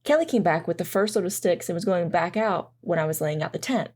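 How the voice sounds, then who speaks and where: A woman's voice: on the low side, expressive, brisk, darkish tone. A woman playing a flustered, self-doubting mother who narrates and talks in an audio drama. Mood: reflective narration.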